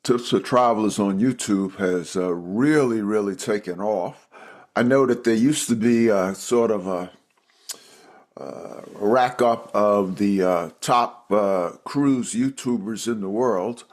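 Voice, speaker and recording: A man's voice: lowish voice, slow. A man hosting a cruise podcast, reading an enthusiastic intro and then chatting with many fillers. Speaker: podcast host. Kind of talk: interview podcast.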